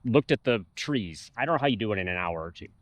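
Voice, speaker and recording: A man's voice: lowish voice, animated, fast talker, no room sound. A man on a travel vlog, outdoors at a park, casually explaining history to the camera at length. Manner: informative, talks through history at length. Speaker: travel vlogger. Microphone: camera mic.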